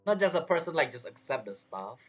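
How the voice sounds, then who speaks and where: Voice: medium pitch, sing-song, brisk. A game streamer giving casual playthrough commentary in choppy, non-native phrasing. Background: game music.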